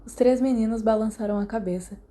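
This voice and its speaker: A woman's voice: fairly high pitch, a little fast, dark tone. A woman with a Brazilian accent reading a story aloud as a podfic narrator. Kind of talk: scripted audiobook-style narration.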